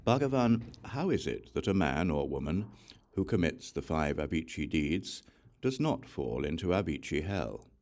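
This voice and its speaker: A man's voice: rumbling low, lively intonation, normal speed, darkish tone. A man reading the part of a reverent, earnest bodhisattva disciple, respectful and formal, in a scripture audiobook. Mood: reverent inquiry. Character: reverent, earnest male bodhisattva disciple questioning his master.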